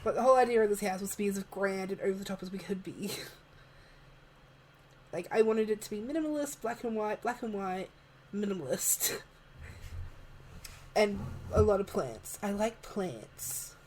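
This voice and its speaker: A woman, chatting softly and laughing often while narrating a game build, her voice a little worn. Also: mid-range voice, normal speed, long pauses, a little hiss or hum, poor audio. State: losing her voice. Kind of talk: casual voice-over chat. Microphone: home desk microphone, moved closer partway.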